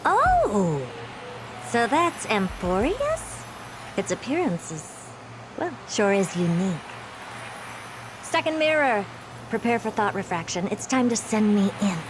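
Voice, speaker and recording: An actress playing a haughty, impatient genius scholar in a video game, speaking in an imperious, theatrical and self-important way. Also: female voice, medium pitch, wildly swooping pitch, slow, pauses often, noisy background. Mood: curious, musing, then commanding. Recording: loud music under the voice.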